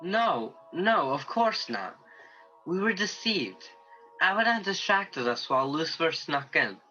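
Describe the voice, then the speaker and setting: A man's voice: a little high, big pitch swings, measured pace, pushed and bright, muffled recording, soft background music, heavily acted. A man playing an anxious, humble, loyal guardian angel in a radio play, hesitant and earnest in delivery. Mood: guilty, hesitant.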